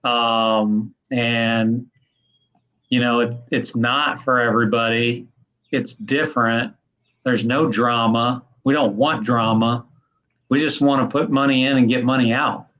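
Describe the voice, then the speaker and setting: A man's voice: mid-range voice, fairly even intonation, measured pace, fairly bright tone, muffled recording. Easygoing man in his mid-fifties. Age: 50s. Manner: easygoing, emphatic. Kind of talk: casual chat.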